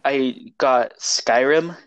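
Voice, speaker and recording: A man's voice: medium pitch, extremely slow, clean tone, highs cut off. A young man co-hosting a podcast, telling personal stories in a hesitant, rambling way. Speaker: podcast co-host. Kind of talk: casual chat, personal storytelling.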